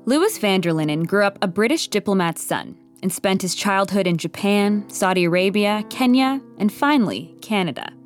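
A woman narrates a scripted audio drama. Her mid-range voice is full of movement as she tells the story in a measured, wry way. Faint music runs underneath. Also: medium pitch, expressive.

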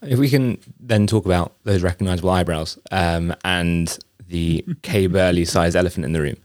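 A podcast host with a low, deep voice asks his guest long, winding questions. He halts often and circles back mid-sentence, and the close mic sounds dry and dead. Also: no room sound. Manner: halting, many fillers and restarts. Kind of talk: interview questions.

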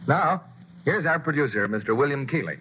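A man announcing on an old radio show in a smooth, polished scripted delivery, heard through a muffled broadcast. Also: on the high side, expressive, a little fast, a little hiss or hum.

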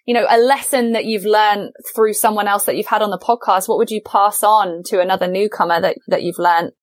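A woman's voice: mid-range voice, fairly even intonation, fairly quick, dry recording. A woman hosting a podcast interview, enthusiastic and affirming toward her guest. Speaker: podcast host. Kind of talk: conversational interview.

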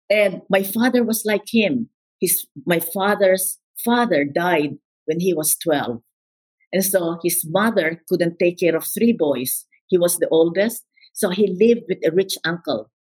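A woman's voice: fairly low pitch, a little slow, a little mellow, husky, poor audio. An older woman with a Filipino accent, telling her life story as an interview guest in an emphatic, repeating way. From Philippines. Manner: emphatic, repeats words, quotes others.

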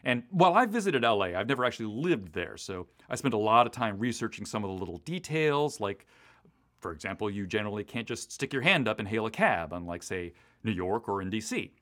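Male writer in interview, East Coast US origin. Very animated intonation, casual, enthusiastic, prone to detailed tangents. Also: on the low side, a little fast. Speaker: audio drama writer being interviewed. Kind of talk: casual, detailed behind-the-scenes chat.

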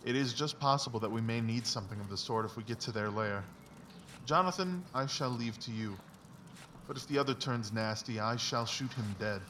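A man playing a brilliant, confident, philosophical detective in a dramatized audiobook, explaining things in a measured, self-assured way. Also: mid-range voice, a bit bright, far from the mic, a little hiss or hum, lightly acted. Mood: grimly resolved.

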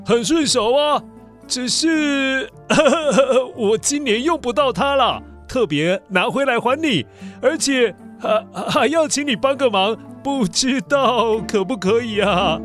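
A man's voice: very high voice, animated, slow, over music, heavily acted. A man voicing a polite, sheepish father in a children's audio story, chuckling and coaxing as he asks for a favor. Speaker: voice actor.

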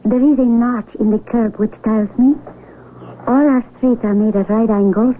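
A woman's voice: a little high, steady tone, moderate pace, natural voice. A woman acting in a radio drama as an earnest, sheltered young blind villager who speaks in simple, formal words. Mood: gentle, curious. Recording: tinny radio broadcast with faint noise.

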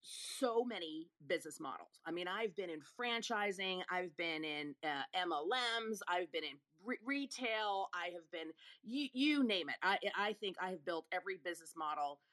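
An excited woman from Italy co-hosts a live audio show, gushing over her guest with plenty of fillers and restarts. Her voice sits slightly low. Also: moderate pace, hardly any pauses. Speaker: show co-host. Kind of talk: casual chat.

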